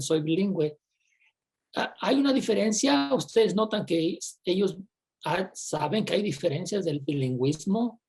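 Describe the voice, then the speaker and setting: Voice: mid-range voice, darkish tone, slightly rough. A moderator leading a panel discussion, asking long questions with many fillers and restarts.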